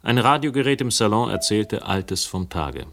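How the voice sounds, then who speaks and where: A world-weary investigator with a very low voice. He tells his own case in a radio crime play, wry and literary in his phrasing. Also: expressive, moderate pace, gravelly, fairly bright tone, a little hiss or hum. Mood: brooding, wry. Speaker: narrator and protagonist. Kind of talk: radio crime drama narration.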